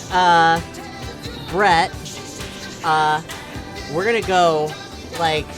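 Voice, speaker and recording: A woman's voice: lowish voice, very slow. Woman player in casual tabletop game, music behind. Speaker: tabletop role-playing player. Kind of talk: casual improvised game play.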